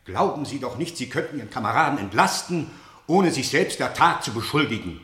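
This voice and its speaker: An actor playing a stern military general who interrogates in a probing, sardonic and authoritative way in a radio play. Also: male voice, mid-range voice, expressive, fairly quick, a bit bright, close to an everyday voice. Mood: stern, accusing. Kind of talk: German radio play acting. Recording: roomy, echoing studio radio play.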